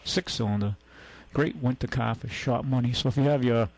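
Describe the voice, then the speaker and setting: A man's voice: mid-range voice, fairly quick, vocal fry. A man with a New England accent hosting a radio car show, talking in a rambling, folksy way full of fillers and restarts. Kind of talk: casual radio chat.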